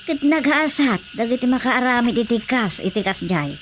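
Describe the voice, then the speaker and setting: An actress as a motherly village woman in a radio drama, fairly high-pitched. She is pious and nagging, quick to exclaim and scold. Faint noise runs behind her. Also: close to an everyday voice. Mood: earnest counsel. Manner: exclaiming, scolding, animated interjections. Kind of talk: Ilocano radio drama comedy acting.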